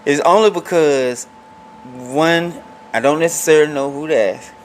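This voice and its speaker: A man's voice: on the high side, moderate pace, a little hiss or hum, poor audio. A man in his forties hosting a podcast in casual, informal talk, often repeating words as he forms his thoughts. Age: 40s. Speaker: podcast host. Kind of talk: casual conversation.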